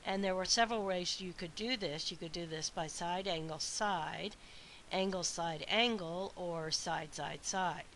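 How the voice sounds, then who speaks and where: A woman teaches a recorded math lesson through a sinus infection, her voice stuffy and weak. She speaks very slowly and methodically, with a bright, slightly lowish tone. A faint hiss runs under the recording. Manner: slow, methodical explaining with frequent uh and um. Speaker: math instructor.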